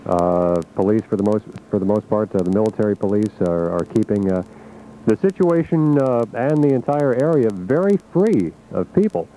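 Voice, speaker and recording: A man reporting live on radio news, unscripted, measured and reflective, with searching pauses. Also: lowish voice, lively intonation, dark tone. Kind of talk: live unscripted event coverage. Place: outdoors at an airport. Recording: muffled, noisy 1980s radio aircheck.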